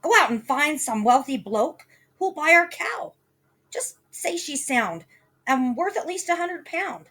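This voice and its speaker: A woman putting on a shrill, scolding mother. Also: lively intonation, a little slow, pushed and bright, heavily acted. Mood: furious.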